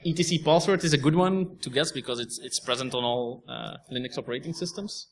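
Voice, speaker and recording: A man's voice: medium pitch, fairly quick, a little hiss or hum. A young man from Belgium, a native Dutch speaker, giving a technical talk with a Belgian Dutch accent and explaining step by step with frequent restarts. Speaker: conference speaker.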